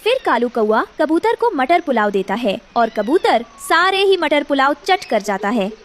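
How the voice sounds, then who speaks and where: A woman's voice: sits high, big pitch swings, normal speed. A woman narrating a scripted children's story in a dramatic storytelling style.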